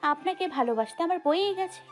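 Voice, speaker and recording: Love story heroine, acted by the woman narrator: young, coy, high voice with lively swings, shy but feigning indifference, soft music behind. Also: fast. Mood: coy denial. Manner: coy, huffy denials.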